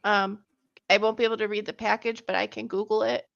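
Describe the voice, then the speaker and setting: A woman's voice: medium pitch, little pitch movement, brisk, vocal fry, fairly bright tone. Chatty woman in her thirties, muffled sound. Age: 30s. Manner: chatty, rambling, laughs often. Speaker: stream host. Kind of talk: casual chat.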